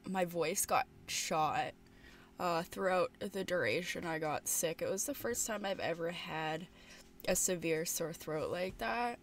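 A woman's voice: on the low side, unhurried, a little hiss or hum. A young adult woman with a stuffed-up, sniffly voice chatting casually to camera at her bedroom desk. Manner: easygoing, with fillers. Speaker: vlogger.

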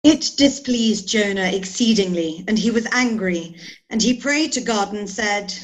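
A woman's voice: mid-range voice, measured pace, rough recording. A woman in her thirties narrating scripture from a script. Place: indoor room, video call.

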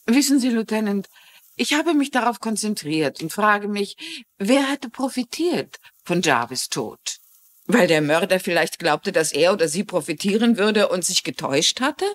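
A woman's voice: medium pitch, animated, moderate pace, natural voice. An actress playing a shrewd, courteous older woman amateur sleuth in a German-dubbed TV crime drama, composed and inquisitive. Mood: inquisitive, intent.

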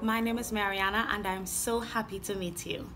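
A woman's voice: medium pitch, steady tone, moderate pace, bright, forceful tone. A young woman with a Trinidadian accent, chatting casually to the camera as a vlogger. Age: young adult.

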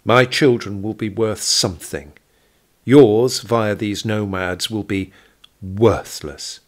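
A man voicing a cold, haughty, contemptuous wealthy woman in a period audio drama, speaking with deliberate disdain. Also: lowish voice, fairly even intonation. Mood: scornful.

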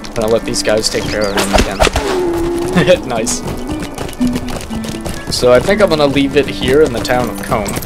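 A young man with a raspy, just-woken voice, casually commenting while he plays a game and reads quest text aloud at home. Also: mid-range voice, normal speed, pauses often. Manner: laid-back, thinking aloud. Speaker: gaming YouTuber. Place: home room at a computer. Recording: game music behind. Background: game music.

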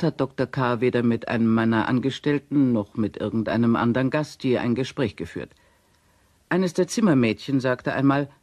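A man reading a witness statement in a measured, formal, matter-of-fact way as radio play narration. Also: medium pitch, a little mellow, dry recording.

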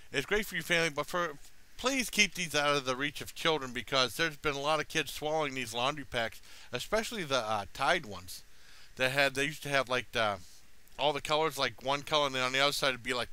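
This is a middle-aged man with an American accent and a bright, clean voice at an average pitch. He talks casually into a headset, meandering through a homemade review in plain, easygoing words. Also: clean tone. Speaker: product reviewer, vlogger. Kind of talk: casual rambling review to camera. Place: small room at home.

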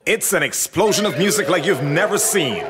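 A man delivering a high-energy event promo voice-over as an announcer. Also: lively intonation, brisk, over music.

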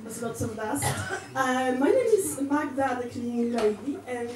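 High-voiced Polish woman doing stand-up. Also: animated, crowd noise. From Poland. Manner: chatty and halting, with many fillers, restarts and giggles. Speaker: stand-up comedian in character as a cleaning lady. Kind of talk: stand-up comedy set. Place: small indoor comedy club stage. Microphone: handheld mic, heard from a distant camera. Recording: roomy club sound from far off with audience noise.

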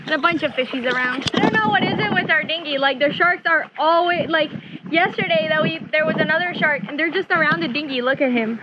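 A woman's voice: sits high, fairly quick, highs cut off. Chatty young woman on a windy boat. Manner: chatty, excitable, lots of "like". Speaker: vlogger. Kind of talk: casual vlog narration. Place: outdoors on a sailboat deck. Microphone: action camera held close. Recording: loud wind and water noise.

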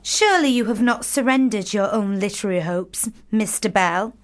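A woman's voice: mid-range voice, normal speed, close to an everyday voice. A woman playing a playful, romantic young sister in a period radio drama, savouring her words theatrically. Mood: earnest.

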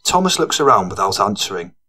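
A man narrates a gritty audiobook. His pitch is a little low, and he reads scripted prose as the storyteller. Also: sing-song, fast, sharp tone, slightly rough.

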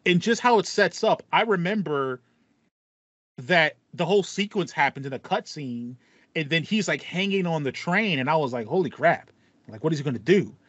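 A man in his thirties hosting a casual gaming podcast, telling stories in an animated manner. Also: fairly high pitch, dry recording. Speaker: podcast host. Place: small home room with foam panels. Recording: dull, lo-fi dry audio.

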